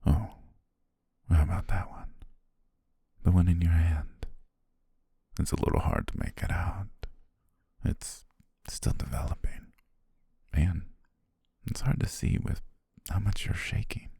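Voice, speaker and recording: Very deep, gravelly, hushed obsessive stalker played by a man. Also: no echo, whispered, studio quality, full character voice. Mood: quietly menacing. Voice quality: very deep, husky, creaky, breathy. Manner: slow, hushed, lingering pauses. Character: obsessive, menacing yandere male photographer stalking his beloved. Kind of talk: slow, intimate ASMR roleplay.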